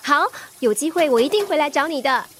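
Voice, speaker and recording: A young woman acting a spirited daughter in a radio drama, lively and a little pouty. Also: high-pitched, a little fast, slight background noise. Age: young adult.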